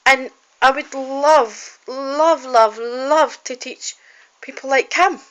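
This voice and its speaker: A woman's voice: sits high, takes it slowly, fairly bright tone, lo-fi sound. A woman, a singer-songwriter and college student, talks in a heartfelt, rambling way to a phone camera for her video diary, with frequent ums and restarts. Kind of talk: personal video diary, casual talk to camera.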